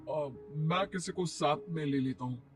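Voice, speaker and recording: A man's voice: on the high side, a little slow, soft background music, natural voice. A man playing the earnest adult son of a family in a cartoon story. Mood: earnest, protesting.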